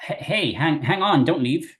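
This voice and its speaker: A man voicing a friendly, chatty little male worm with homely, rough colloquial speech. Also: a little high, animated, moderate pace, fairly bright tone, exaggerated voice, rough recording. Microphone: video call.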